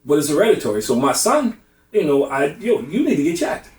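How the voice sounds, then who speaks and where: Middle-aged man, group talk, earnest advice, quick delivery, heard from a distance in an echoey room. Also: a little high, sing-song. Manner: earnest, advising, emphatic. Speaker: discussion participant. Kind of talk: candid group discussion. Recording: roomy, distant mic.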